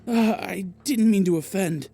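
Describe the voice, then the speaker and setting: A man's voice: high voice, a little slow, warm tone, breathy edge, full character voice. An actor playing a shy, apologetic young townsman in an audio drama, stammering and flustered. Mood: embarrassed, apologetic.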